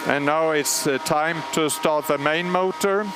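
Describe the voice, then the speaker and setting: A man with a Swedish accent calmly explaining, step by step, how an old radio transmitter is started, pausing often with fillers. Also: on the high side, noisy background. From Sweden. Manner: measured, explanatory, frequent pauses and fillers. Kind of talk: live technical commentary. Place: large machine hall at a radio station. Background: humming machinery and alarm bells.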